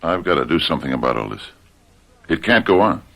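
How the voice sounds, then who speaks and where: An actor playing a weary, downtrodden farmhand husband in a radio drama, anxious and quick-tempered, halting and repeating himself. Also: male voice, a bass voice, very animated, vocal fry, fairly bright tone, full character voice.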